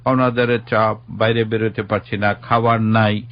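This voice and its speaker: A man's voice: fairly low pitch, level tone, normal speed, lo-fi sound. A middle-aged man with a Bangladeshi accent, a guest earnestly giving a personal account and explaining himself defensively. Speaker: interview guest.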